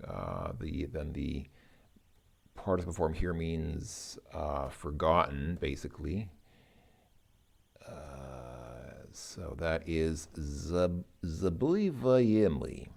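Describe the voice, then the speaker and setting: Male tutor-style reader, deep and gravelly, halting, dry delivery, informal walkthrough of exercises. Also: animated, darkish tone. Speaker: language learner presenting lesson exercises. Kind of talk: informal lesson walkthrough, thinking aloud.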